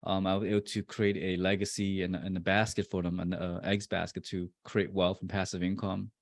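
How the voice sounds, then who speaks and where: Deep low-voiced guy in his 40s, lots of ums and restarts, dry close sound, casual interview guest. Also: level tone, no room sound. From born in Vietnam, raised in New Jersey. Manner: halting, many fillers and restarts. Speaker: guest, real estate investor.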